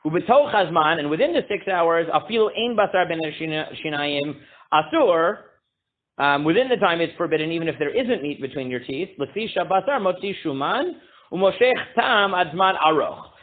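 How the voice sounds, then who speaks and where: A man teaching a casual Torah class, halting with many fillers, reading Hebrew text aloud and translating it. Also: expressive. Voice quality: booming voice. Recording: tinny phone-like line.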